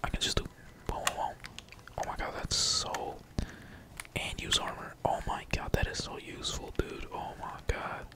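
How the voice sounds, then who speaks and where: A man whispering casual, slangy and sweary ASMR gaming commentary in a breathy, playful mutter, as a streamer talking to viewers. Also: normal speed. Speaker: gaming streamer, channel host.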